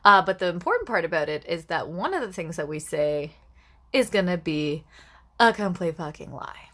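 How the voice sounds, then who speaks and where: Animated, teasing woman podcaster. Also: fairly low pitch, normal speed. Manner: animated, teasing, laughs often, many "like" fillers. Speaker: podcast co-host. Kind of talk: casual podcast chat.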